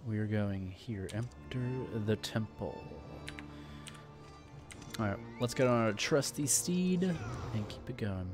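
A laid-back man gives casual gameplay commentary in a lowish voice. He takes his time and thinks aloud, with soft music behind him. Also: takes it slowly. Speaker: gaming video host. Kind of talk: casual let's play commentary. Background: faint game music.

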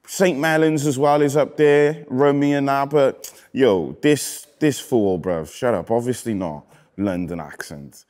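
A man in his thirties from Wales with a Cardiff accent, a rapper casually reading out comments in a relaxed, slangy way that often trails off quietly. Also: medium pitch, a little slow. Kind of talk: casual chat. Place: indoor studio with graffiti wall.

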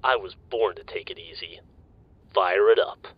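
A man's voice: sits low, moderate pace, highs cut off, noisy background, close to an everyday voice. An actor playing a lazy, dim-witted male soldier who talks in manic, rambling, jittery chatter.

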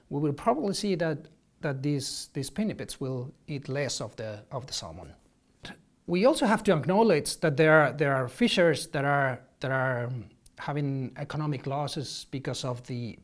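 A man, answering interview questions as a marine ecologist, halting and restarting often. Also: a little high. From Spain. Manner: halting, many restarts and ums, gestures while explaining. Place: office with shelves, indoors. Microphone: lapel.

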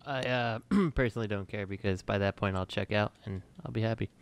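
A man's voice: fairly low pitch, a little fast, gravelly, clear, resonant tone. A man talking casually as a panelist on a podcast, explaining his own opinions. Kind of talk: casual chat.

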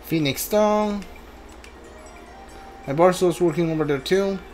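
A man's voice: sits high, a little slow, darkish tone. A man giving casual video game playthrough commentary, mumbling his thoughts aloud as he plays. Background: game music.

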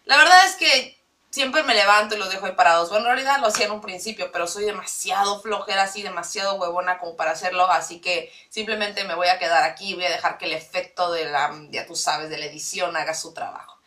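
A woman's voice: lowish voice, fast, sharp tone, distant mic. A young woman from Mexico City with a mixed Latin American Spanish accent, chatting to camera in her bedroom, rambling and giggling between fillers. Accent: mixed Latin American Spanish with Mexico City base. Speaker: vlogger. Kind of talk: casual chat to camera. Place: small bedroom indoors.

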